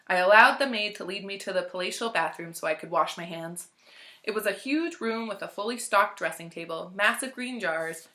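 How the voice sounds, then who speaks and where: A woman reading a story aloud as narrator, in a measured, expressive, clearly phrased way. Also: lowish voice. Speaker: library storytime reader.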